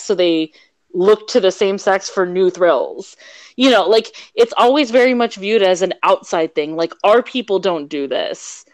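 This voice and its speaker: A woman's voice: medium pitch, no echo. A woman guest telling her story frankly in a casual podcast talk. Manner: frank, candid, storytelling with fillers. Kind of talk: casual interview chat.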